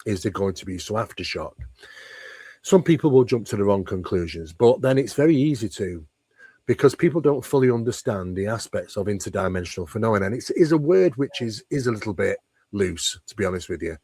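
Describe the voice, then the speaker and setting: A man with a fairly low voice talks like a researcher being interviewed. He explains things conversationally and at length, leaning on key words and posing rhetorical questions. The sound is close and dry, with very little room to it. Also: lively intonation, a little fast, warm tone, slightly rough, no room sound. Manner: emphatic, discursive, poses rhetorical questions. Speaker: interview guest, paranormal researcher. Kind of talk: conversational explanation of paranormal topics.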